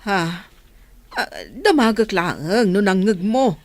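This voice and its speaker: A woman's voice: medium pitch, animated, slow, dry recording. A woman acting an anxious, evasive mother guarding a secret in an Ilocano radio drama. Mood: nervous, defensive.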